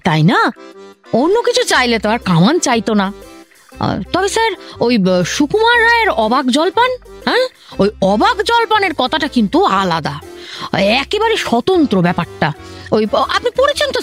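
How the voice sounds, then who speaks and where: Flustered forties woman officer, comic radio drama; very animated, high, rambling, faint music behind. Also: exaggerated voice. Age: 40s. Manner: flustered, rambling, stammering, over-explaining. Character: stout talkative domineering female government officer past forty.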